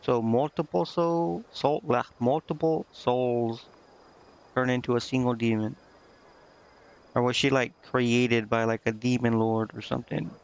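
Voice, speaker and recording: A man's voice: medium pitch, fairly even intonation, unhurried, vocal fry, clear, resonant tone. Rambling man streaming, dull lo-fi mic. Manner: rambling, thinking aloud, stumbling over words when reading. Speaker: video game streamer. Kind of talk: casual playthrough commentary, reading game dialogue aloud. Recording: dull, lo-fi microphone sound.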